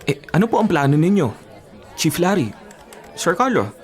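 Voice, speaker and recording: An actor with a Filipino accent playing a cautious, grumbling racing teammate who is easily talked round, in a radio drama. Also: male voice, fairly high pitch, very animated, normal speed, some chatter behind. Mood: puzzled, tense.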